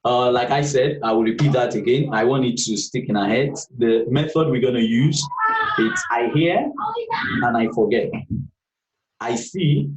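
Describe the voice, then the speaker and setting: A man's voice: medium pitch, measured pace, roomy sound, recorded from a distance, poor audio. A man teaching a live online class for beginner traders, patient and repetitive, checking in with "okay" all the time. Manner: patient, repeats points, frequent "okay" checks. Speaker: trading instructor.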